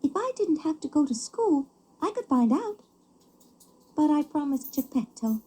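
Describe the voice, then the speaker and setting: Storybook puppet boy played by a woman: young, naive, eager, childlike, high and animated. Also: moderate pace. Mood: curious, torn. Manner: excited, wide-eyed, childlike.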